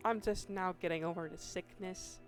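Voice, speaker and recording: A woman with a hoarse voice giving casual, meandering commentary over her Minecraft gameplay, restarting sentences often. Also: on the low side, steady tone, soft background music. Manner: slow, meandering, with many restarts and fillers. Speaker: Minecraft YouTuber.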